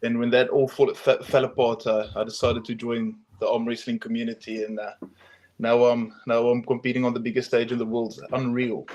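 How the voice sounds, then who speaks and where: Young South African man, halting speech. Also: mid-range voice, level tone, a little mellow, lo-fi sound. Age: young adult. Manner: hesitant, many ums and restarts. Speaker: guest, arm wrestler. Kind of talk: casual interview chat.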